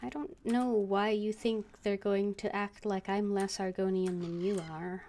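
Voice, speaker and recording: Female game streamer: mid-range voice, informal live chat, halting and hesitant delivery. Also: medium pitch, level tone, mellow, slight background noise. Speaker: game livestreamer. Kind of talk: casual livestream chat.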